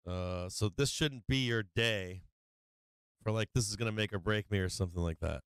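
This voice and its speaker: A man hosts a live trading stream with a loose, profane, filler-heavy manner. His voice is strikingly clear and smooth, though it has been wearing out this week. The sound is dry and close, with no room around it. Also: lowish voice, moderate pace, no room sound. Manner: loose and profane, many fillers, laughs often. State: losing his voice. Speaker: stock market livestream host. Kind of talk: casual trading commentary.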